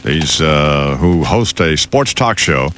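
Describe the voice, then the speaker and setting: A man hosting a morning radio show with loose banter, speaking in a halting, drawling, needling manner. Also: very deep voice, animated, fairly bright tone, slight background noise. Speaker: radio talk show host.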